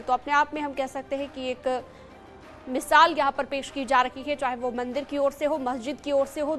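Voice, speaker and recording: A woman presenting live television news as an anchor, in an emphatic, repetitive manner. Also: sits high.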